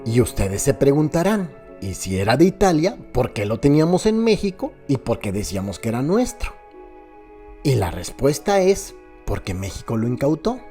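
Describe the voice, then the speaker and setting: A man's voice: medium pitch, sing-song, normal speed, mellow. A man with a Mexican accent narrates a crime radio serial as a dramatic scripted story, addressing listeners directly in a theatrical way. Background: background music.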